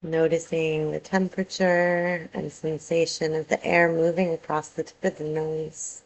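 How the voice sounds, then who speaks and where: A woman teaching yoga nidra, guiding a meditation in a calm, soothing way with measured phrases and pauses. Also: low-pitched, a little hiss or hum, low-quality recording. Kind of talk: guided meditation, soothing instruction.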